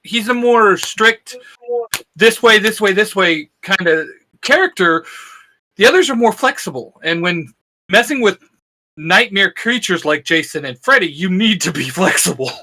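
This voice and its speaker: Co-host, male, bright and somewhat high voice, earnest fan analyst. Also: lively intonation, moderate pace, slight background noise, rough recording. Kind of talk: casual fan discussion.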